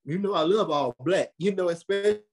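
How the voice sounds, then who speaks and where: A young American man giving conversational interview answers, earnest and animated, repeating phrases for emphasis. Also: high voice, brisk. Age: young adult. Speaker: podcast host and creative being interviewed.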